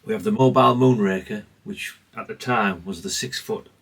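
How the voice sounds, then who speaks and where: A man's voice: on the low side, normal speed, recorded from a distance, background noise. A man giving a casual, rambling, understated show-and-tell, speaking off the cuff. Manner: rambling, self-effacing, understated. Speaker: hobbyist presenter.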